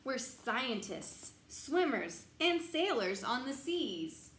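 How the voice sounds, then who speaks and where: A young woman reading a children's bedtime picture book aloud in an expressive, sing-song, teacherly way, emphasizing key words. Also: sits high, slow. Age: young adult. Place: small glassed-in sunroom. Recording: roomy echo, light background noise.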